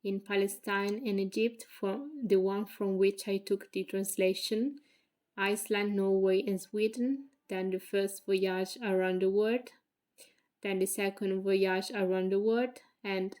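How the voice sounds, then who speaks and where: Italian woman reading in a flat voice. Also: medium pitch. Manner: careful, measured reading with slips. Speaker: graduate student. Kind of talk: reading a prepared presentation.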